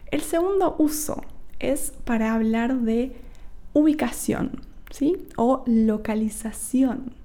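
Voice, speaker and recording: A woman's voice: on the high side, dark tone. A young woman from Argentina with an Argentine accent, teaching a podcast Spanish lesson and checking in with "¿sí?" after each point. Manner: explains slowly, with frequent checking "¿sí?" and "¿ok?".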